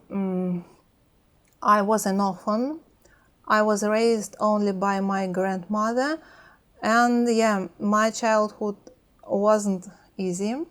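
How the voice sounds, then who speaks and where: A woman with a Russian accent talking in a reflective personal vlog, hesitant, with many pauses and fillers. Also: medium pitch. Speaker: language-learning vlogger.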